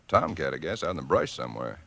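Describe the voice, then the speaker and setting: An actor playing a plain-spoken, easygoing husband who also narrates, in 1940s radio acting style. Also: male voice, extremely low pitch, creaky, a bit bright, full character voice. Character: weary young husband narrating his own story.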